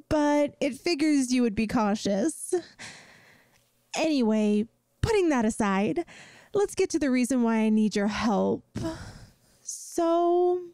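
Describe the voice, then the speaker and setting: A woman's voice: high-pitched, mellow, no room sound, studio quality, full character voice. A woman playing a ditzy, sheepish young friend in an audio roleplay, talking to the listener in an earnest, self-deprecating, hesitant way. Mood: sheepish, embarrassed. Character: ditzy, sheepish young female friend failing yandere school. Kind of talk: scripted audio roleplay, spoken directly to the listener.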